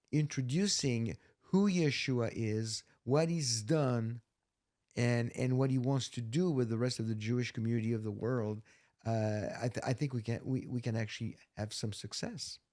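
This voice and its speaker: A man from France, a ministry teacher speaking reflectively on a panel, with many pauses and fillers. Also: medium pitch, a little mellow. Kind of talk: casual panel discussion.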